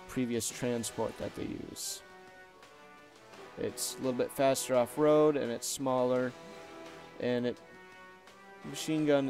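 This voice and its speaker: Slow rambling young-sounding man, soft music behind. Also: mid-range voice, vocal fry. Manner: relaxed, rambling, many fillers and self-corrections. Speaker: gaming YouTuber. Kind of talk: casual game deck walkthrough.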